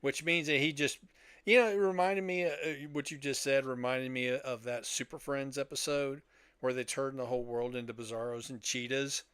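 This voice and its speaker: A man's voice: medium pitch, steady tone, normal speed, fairly bright tone, clear, resonant tone, no echo. A middle-aged or older man chatting casually as a podcast co-host, with a joking, rambling manner. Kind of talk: casual group chat about old radio and films.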